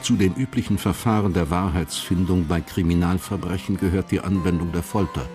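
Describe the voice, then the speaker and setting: A man's voice: low-pitched, a little fast, natural voice. A man as the sober, detached narrator of a radio crime play, giving scripted, factual narration. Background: guitar music.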